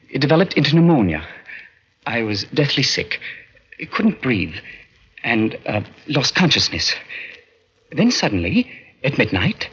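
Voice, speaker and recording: A man's voice: mid-range voice, fairly bright tone, husky, exaggerated voice. An actor in a 1940s radio drama playing an anguished, earnest undead man who foresees the future, speaking with pleading intensity. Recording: muffled vintage 1940s radio recording.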